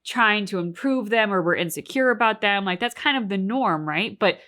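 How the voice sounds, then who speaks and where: A woman's voice: mid-range voice, lively intonation, normal speed, pushed and bright. A woman talking casually and reflectively as a co-host, earnest and talkative, peppering her speech with "like" and "right". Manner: swears casually. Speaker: co-host of a reaction channel.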